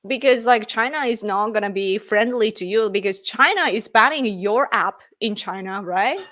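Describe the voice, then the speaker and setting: A woman's voice: mid-range voice, normal speed, a bit bright. A woman from Japan with a Japanese accent, casually chatting on a live stream and reading viewer comments as she rambles and laughs. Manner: rambling, reads chat aloud, laughs often. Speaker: livestream host.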